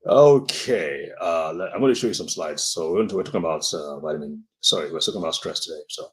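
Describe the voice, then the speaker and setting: Deep-voiced man giving a live health talk. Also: brisk. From Nigeria. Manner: earnest, repeats words and restarts while explaining. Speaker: doctor hosting a live health broadcast. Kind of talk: live-stream health talk answering viewer comments.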